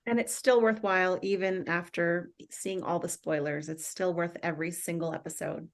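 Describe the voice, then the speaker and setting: A woman chatting casually in an online group, animated and talkative. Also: fairly low pitch, moderate pace. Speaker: participant in an online table read. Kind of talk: casual chat.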